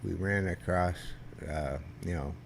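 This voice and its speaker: An older man, a veteran guest, telling stories in a rambling, halting way with many restarts and a loud voice that carries. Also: low voice, vocal fry, a little hiss or hum. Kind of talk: casual storytelling interview.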